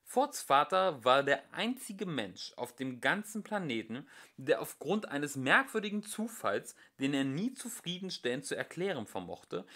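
A young man narrates a comic novel at home, his voice sitting at a middle pitch. He swings it widely and expressively, landing the dry jokes with plenty of melody. It sounds like a homemade audiobook read for family. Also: a bit bright. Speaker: audiobook reader. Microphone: home recording.

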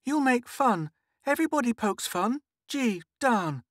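A narrator voicing a bossy, excitable young girl in a story, impatient and earnest. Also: medium pitch, a little slow, dry recording, clean studio sound, voice pushed up. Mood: impatient, defensive.

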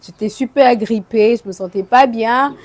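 Chatty woman vlogger, slightly hoarse. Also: on the high side, normal speed, darkish tone. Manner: chatty, mixes French with English phrases. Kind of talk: casual vlog chat to camera. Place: small bedroom with clothes rack, indoors. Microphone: phone camera at arm's length.

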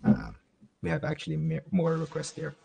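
Norwegian man with a lively voice, slightly off-mic. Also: a little high, normal speed, vocal fry, dry recording, recorded from a distance. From Norway. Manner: playful, laughing, teasing. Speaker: co-host. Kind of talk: casual video call chat. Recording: dry, a bit off-mic.

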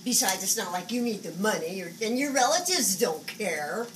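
A woman's voice: medium pitch, animated, natural voice. A woman in her seventies playing a flamboyant, agitated psychic who scolds spirits in a dramatic stage monologue. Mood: defensive. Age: 70s. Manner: dramatic, exasperated, halting muttering to outbursts. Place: small indoor stage set. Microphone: camera a few metres away. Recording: distant camera recording, noisy room.

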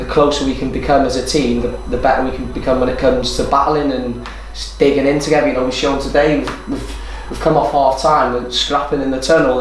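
A man in his thirties, a football captain talking in a post-match interview in a small room. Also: medium pitch. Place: small room indoors overlooking a football pitch. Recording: very echoey room, distant mic, noisy.

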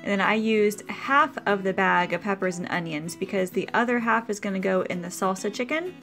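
A woman's voice: mid-range voice, expressive, brisk, a bit bright, soft background music. A woman hosting a cooking video, explaining her meal prep step by step in a chatty, practical voice-over.

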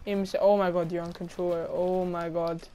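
Flat-voiced teen girl teasing a friend. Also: on the low side, moderate pace. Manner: mocking, repetitive taunting. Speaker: gamer. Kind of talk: teasing gaming banter.

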